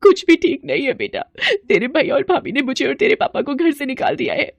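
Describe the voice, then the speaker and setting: A woman voicing a distressed elderly mother, plaintive and tearful, in an animated storybook tale. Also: sits high, brisk, creaky, no room sound, voice pushed up, heavily acted.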